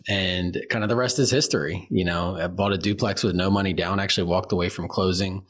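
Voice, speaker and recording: A man's voice: low voice, fairly bright tone. A man as an interview guest, telling his background in an easygoing way full of fillers. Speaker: guest, real estate co-founder. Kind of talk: conversational interview answers.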